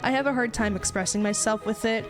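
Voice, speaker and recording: A young American woman with a fairly high voice chats casually over clearly audible music. She is a native Spanish speaker in her twenties, with a playful, self-deprecating way of introducing herself to viewers. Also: quick pace. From Ohio, United States. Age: 20s. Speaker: VTuber streamer introducing herself.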